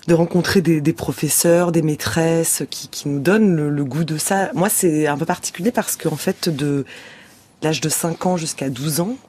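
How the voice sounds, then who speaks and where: A woman's voice: sits low, a little mellow. A young actress talking thoughtfully in a radio studio interview, searching for her words as she goes.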